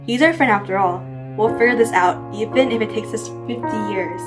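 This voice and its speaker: Woman as devoted young girl, slightly high voice, soft, solemn, guilt-ridden, audio drama. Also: a little fast, over music, lightly acted. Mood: resolute.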